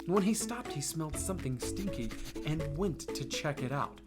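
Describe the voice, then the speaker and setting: A woman hosting storytime, reading a children's picture book aloud in a playful, expressive way that speaks straight to listeners. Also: low voice, normal speed, over loud music. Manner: playful, animated, addresses listeners directly. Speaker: storytime host and book reader.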